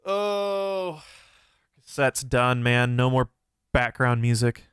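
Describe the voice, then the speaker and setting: A man's voice: medium pitch, lively intonation, slow pace, fairly bright tone, clear, resonant tone, no room sound, studio quality. A young man hosting a philosophy livestream, answering chat questions in a casual, thoughtful way with many fillers and restarts, his voice a bit nasal. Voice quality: somewhat nasal.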